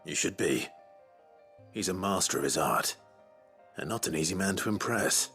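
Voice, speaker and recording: A man playing a gruff, terse but courteous swordsman in a video game, with a low gravelly voice. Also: lively intonation, normal speed, bright, forceful tone, exaggerated voice. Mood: calm, terse. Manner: terse, understated. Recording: game music under the voice.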